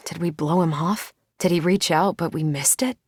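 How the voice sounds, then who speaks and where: A woman with an American accent playing a confident, wry superheroine and former Air Force pilot in a video game, dry, self-assured and quick to wisecrack. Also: low voice, a little mellow, slightly rough, no echo. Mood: concerned.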